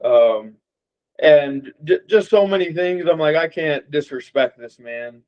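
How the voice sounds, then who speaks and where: A young man from Las Vegas chats loosely about himself, leaning on fillers as he goes. His voice sits a little high. The recording is muffled and lo-fi. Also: unhurried. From Las Vegas, USA. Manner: relaxed, rambling with many fillers. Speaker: boxer guest. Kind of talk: casual interview chat. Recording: dull lo-fi audio.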